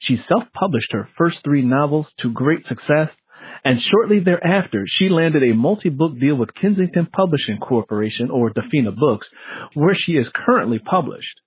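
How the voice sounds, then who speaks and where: Animated man hosting over a tinny line. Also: fairly high pitch, moderate pace, husky. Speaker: host of a book show. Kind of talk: radio-style interview with scripted introduction. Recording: tinny phone-like line, roomy echo.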